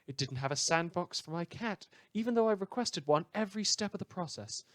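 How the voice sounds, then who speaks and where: A man acts out an opinionated letter writer for a radio drama, pitched well up and very emphatic. The delivery is fussy, chatty and declamatory, in a dry, close sound. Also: lively intonation, a little fast, no room sound. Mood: chatty storytelling. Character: opinionated, indignant, chatty radio listener writing in.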